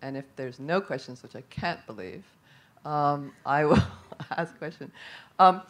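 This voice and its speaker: A woman moderating a conference panel from a stage table, loud and brisk, hurrying through her closing remarks. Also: deep voice. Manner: loud, brisk, self-deprecating. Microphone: handheld microphone.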